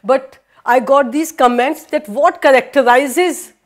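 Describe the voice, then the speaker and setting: High-voiced woman lecturing, hesitant and halting. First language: Hindi. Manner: hesitant, many fillers and restarts, switches into Danish. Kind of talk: university lecture. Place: lecture room with projector.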